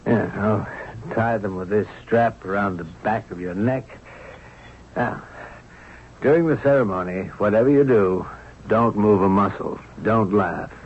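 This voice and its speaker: An actor playing a fussy, avuncular court official who gives careful instructions in a radio drama. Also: male voice, on the low side, unhurried. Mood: fussy, instructing. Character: fussy, fatherly court official preparing a young prince. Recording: dull, noisy old radio broadcast.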